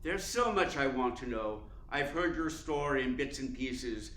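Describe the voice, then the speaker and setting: An urbane, cunning newspaper columnist, played by a man in a radio drama, narrating and probing with deliberate formality. His voice sits at a middle pitch in a roomy echo, with faint noise behind it. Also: expressive, a bit bright. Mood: suave, probing. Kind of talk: radio play narration and dialogue.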